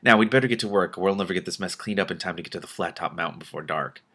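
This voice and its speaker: A man reading as a brisk, wry princess. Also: low-pitched, fairly bright tone. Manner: practical, wry, unflustered. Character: brisk, matter-of-fact princess, dryly wry.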